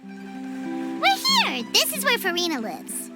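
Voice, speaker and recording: A woman voicing a tiny, chirpy, cheeky childlike fairy companion in a video game, with a squeaky voice. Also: wildly swooping pitch, slow, exaggerated voice. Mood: excited. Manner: refers to self in third person, childlike exclamations. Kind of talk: scripted video game dialogue. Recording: music behind the voice.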